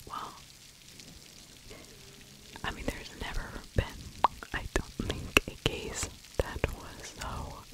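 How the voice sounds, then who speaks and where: A middle-aged woman speaks entirely in a breathy whisper, very close to the mic. She narrates in an unscripted true crime ASMR style, halting between short phrases. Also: low-quality recording. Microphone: large microphone with furry windscreen, very close.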